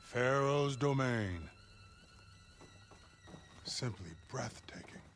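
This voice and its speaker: An actor playing a fussy, fawning aide to a grand ruler in a video game, polished and formal in manner. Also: male voice, medium pitch, animated, very slow, a bit bright, slight background noise, full character voice. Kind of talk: video game cutscene acting.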